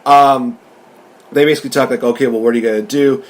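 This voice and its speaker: A man's voice: medium pitch, steady tone, quick pace. A man hosting a casual review discussion, recapping and commenting in an informal, conversational way. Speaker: podcast host reviewing films.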